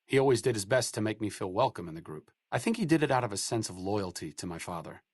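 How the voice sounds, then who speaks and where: A man's voice: fairly low pitch, expressive, quick pace, husky, no room sound. An actor playing a dry, sardonic male scientist companion in a video game. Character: wry, educated male scientist companion in a wasteland. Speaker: companion character.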